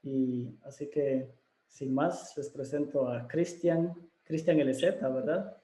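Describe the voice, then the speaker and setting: A man's voice: medium pitch, slow, warm tone, echoey room, poor audio. A man hosting a video interview, speaking casually with many fillers and restarts. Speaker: interview host.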